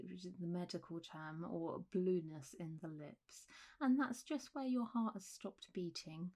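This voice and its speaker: Woman acting a mellow, soothing zombie doctor. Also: medium pitch, close to an everyday voice. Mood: reassuring. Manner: gentle, reassuring, hesitant, slipping into whispers. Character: calm, reassuring zombie doctor running a specialised clinic. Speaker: doctor in a roleplay. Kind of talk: soft-spoken ASMR roleplay.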